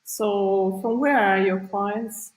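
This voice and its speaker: A woman's voice: medium pitch, steady tone, slow pace. Woman interviewer, echoey room. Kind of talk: interview questions.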